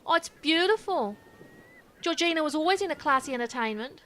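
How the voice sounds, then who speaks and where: A woman playing a brash, dim, indignant Australian show presenter in an audio comedy, loud and matey. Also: high voice, lively intonation, fairly quick. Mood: blithe, matey.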